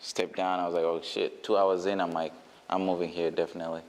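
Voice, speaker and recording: Casting note: very low-voiced man, hesitant interviewee, long reverb on the track. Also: level tone, a little fast, slight background noise. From Uganda. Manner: hesitant, many fillers and restarts. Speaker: brand founder. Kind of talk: casual interview answers.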